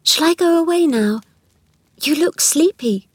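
A gentle, anxious young storybook girl, played by a woman reader. Her voice is high and earnest, with a coaxing, pleading way of talking, recorded dry and close. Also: animated, unhurried, dry recording. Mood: gentle, friendly. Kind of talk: children's storybook narration.

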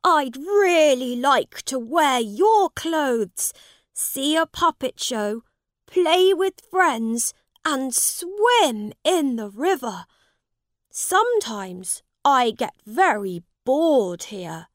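A woman voicing a lordly, eager young English prince in a storybook, speaking emphatically with drawn-out words. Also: high voice, very animated, no echo, clean studio sound, pitched above the performer's own voice, heavily acted. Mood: excited, eager. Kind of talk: storybook character voice.

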